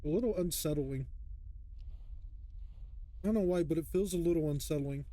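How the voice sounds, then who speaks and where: A man comments casually as he watches a video. His voice sits a little high for a man, with a very soft, mellow tone. He muses aloud in a hesitant way. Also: normal speed, no room sound. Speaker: reaction video host.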